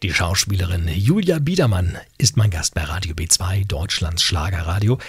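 A middle-aged man hosting an informal radio interview, asking halting questions and repeating words as he goes. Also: deep voice, expressive, over loud music. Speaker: radio host. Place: small treated radio studio. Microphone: close broadcast boom mic, headset monitoring.

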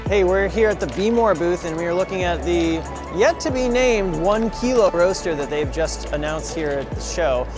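Young man, slightly high voice, casual filler-heavy show tour, convention hall, music behind. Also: animated, moderate pace, hardly any pauses. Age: young adult. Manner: upbeat, casual, frequent fillers. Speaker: coffee equipment show host. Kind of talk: trade show walkthrough. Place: large convention hall, indoors.

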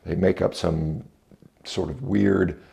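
A man's voice: low-pitched, fairly even intonation, slow pace, creaky, dark tone. A middle-aged American man in his fifties giving blunt, dismissive, off-the-cuff commentary to camera in a conversational way. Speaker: video reviewer and commentator. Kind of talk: unscripted opinion commentary to camera. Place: indoors, home entrance hall. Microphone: small clip-on lapel mic.

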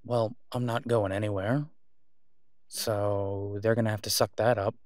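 A man playing a devoted, reassuring boyfriend in an intimate roleplay audio drama, speaking softly and haltingly in a conversational way. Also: on the low side, little pitch movement, no echo, clean studio sound, close to an everyday voice. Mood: worried, hesitant.

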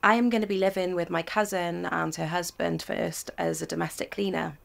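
An actress playing a hopeful young woman who dreams of a stage career, speaking in a slow, wistful, hesitant way. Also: on the low side, fairly even intonation, creaky. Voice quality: sings softly.

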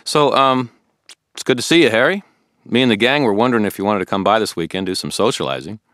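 A man's voice: lowish voice, lively intonation, quick pace, fairly bright tone, no room sound, clean studio sound, pitched above the performer's own voice. A man putting on an eager, pushy young friend. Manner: insistent, pleading.

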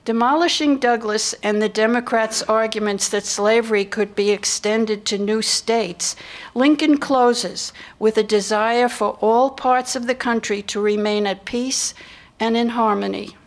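An older woman delivers a scripted narration into a lectern microphone. Her voice sits a little higher than most women's, and she reads from a prepared text. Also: a little slow. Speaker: narrator in a staged reading. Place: indoor hall with seated audience.

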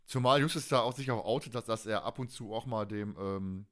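A man's voice: fairly low pitch, fairly quick. A man hosting a podcast in casual, colloquial, jokey chat. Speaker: podcast host.

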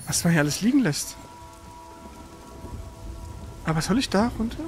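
Excitable gamer with wildly swinging pitch. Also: moderate pace, soft background music. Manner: excitable, exclaiming, colloquial with mild swearing. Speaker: gamer commentating on own play. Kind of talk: casual gameplay commentary.

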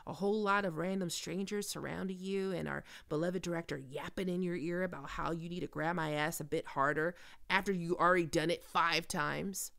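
Woman acting a creaky, low-voiced flirty Hollywood starlet. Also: a bit bright. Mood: flirty, teasing. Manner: teasing, confiding, conversational. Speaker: ASMR roleplay performer. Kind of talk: intimate ASMR audio roleplay, one-sided conversation.

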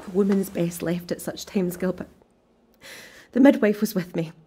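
A woman's voice: fairly low pitch, normal speed, warm tone, husky. An actress playing a tender, grieving young Scottish widow and new mother in a radio drama.

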